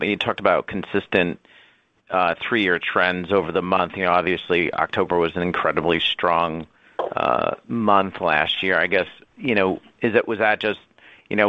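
Deep-voiced male analyst, dry dull call audio. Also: no echo. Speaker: financial analyst asking questions. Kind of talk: earnings call questions. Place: conference call.

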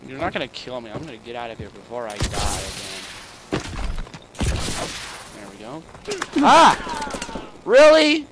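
A young boy gamer grumbles and yelps whenever he gets beaten. His voice has a middle pitch, and a clear hiss sits behind it from a cheap home mic. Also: very animated, unhurried, long pauses. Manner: whiny, exclaims often. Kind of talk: casual gaming chat.

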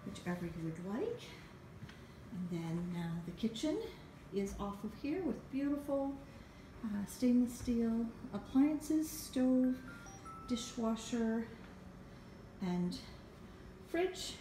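A woman giving a walk-through house tour as a rental agent, halting between short phrases, recorded on a handheld phone. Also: fairly high pitch. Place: walking through an empty house. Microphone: handheld phone behind the camera. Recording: echoey empty rooms, clear background noise.

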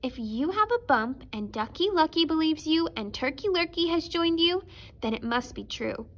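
A woman's voice: sits high, expressive, normal speed, a bit bright, pitched above the performer's own voice. A woman voicing an inquisitive, cautious female storybook goose who doubts and reasons aloud. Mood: reasoning.

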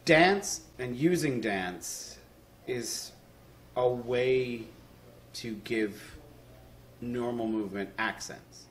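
Slow, deliberate man in his forties. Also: mid-range voice, slight background noise. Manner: deliberate, halting phrasing. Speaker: amateur stage actor. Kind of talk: cast interview. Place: indoor lounge.

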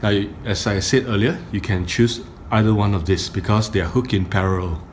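A middle-aged man giving a step-by-step tutorial on audio gear, speaking deliberately in short phrases with pauses. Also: on the low side. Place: indoor carpeted room. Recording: faint room noise, phone camera audio.

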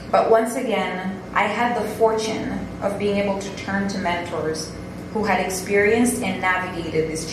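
A young woman from Tanzania, giving a prepared speech in a measured, earnest manner as a guest speaker. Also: mid-range voice. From northern Tanzania. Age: young adult. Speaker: guest speaker, alumna and board member. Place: indoor event room at a podium. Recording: very echoey room, background noise.

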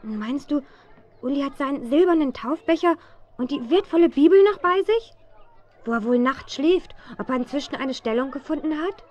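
A woman's voice: high-pitched, expressive, normal speed, a little mellow. A woman playing a plucky, headstrong teenage girl detective in a children's radio play, eager and insistent. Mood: curious, eager. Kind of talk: 1970s German children's radio play acting. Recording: dull, muffled old audio drama recording.

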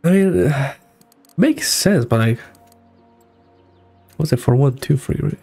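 Muffled, slightly gravelly young male game streamer. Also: medium pitch, expressive, slow pace, warm tone, faint music behind. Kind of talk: casual live gameplay reaction.

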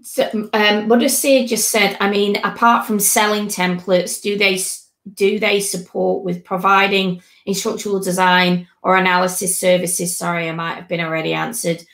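A woman's voice: mid-range voice, little pitch movement, normal speed, creaky, hardly any pauses, fairly bright tone. A woman hosting a chat on a video call with a headset, talking in a chatty, conversational way. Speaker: host. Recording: echoey room audio.

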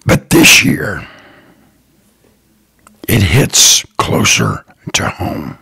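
A man hosting his own podcast, talking slowly and earnestly in a raspy, partly whispered voice. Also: low-pitched, wildly swooping pitch, sharp tone, no echo. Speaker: podcast host, lawn care business owner. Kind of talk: slow, earnest solo podcast talk.

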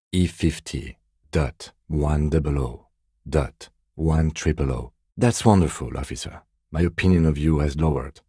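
A male actor playing a composed, meticulous police detective in a video game, with a measured, restrained, dryly stern delivery. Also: a bass voice, mellow, breathy edge, no room sound, clean studio sound, heavily acted. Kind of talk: scripted game dialogue.

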